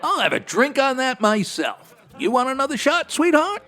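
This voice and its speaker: A man playing a slangy, wordy strip club manager with a raspy, theatrical delivery, in a comedic old-time radio detective style. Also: very high-pitched, sing-song, normal speed, bright, forceful tone, heavily acted. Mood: boastful, jovial. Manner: hipster slang with florid big words, theatrical. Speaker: actor in a comedy audio drama.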